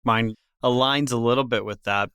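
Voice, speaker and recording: Middle-aged man from Ecuador, smooth low voice, measured guest answers, dry close mic. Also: fairly even intonation, fairly bright tone, no echo. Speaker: guest, risk and portfolio manager. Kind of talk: podcast interview answers.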